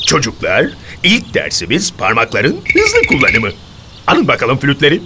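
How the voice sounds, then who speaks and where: A woman's voice: very deep voice, wildly swooping pitch, moderate pace, very noisy, full character voice. A woman voicing a boastful male artist bird in a cartoon, theatrical and grand. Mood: coaching. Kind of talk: cartoon voice acting. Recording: cartoon soundtrack with music.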